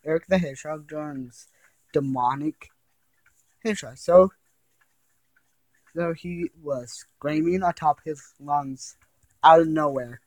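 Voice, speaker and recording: Male amateur creepypasta narrator, slightly high-pitched, slow, rambling, repetitive, halting, dry sound. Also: darkish tone, dry recording, rough recording. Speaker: amateur storyteller reading a creepypasta. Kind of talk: informal horror storytelling. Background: ticking and chiming clock.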